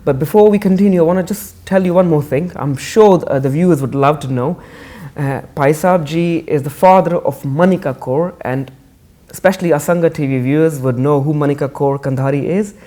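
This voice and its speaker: A man's voice: a little high, a little fast, few pauses, mellow. A man hosting a TV interview, eagerly affirming and speaking with many fillers. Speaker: TV interviewer, host.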